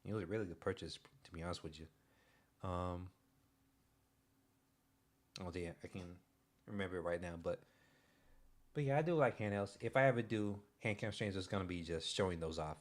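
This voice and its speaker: A young man streaming, chatting casually in a soft-spoken, hesitant way with many pauses and restarts. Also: sits low. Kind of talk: casual livestream chat.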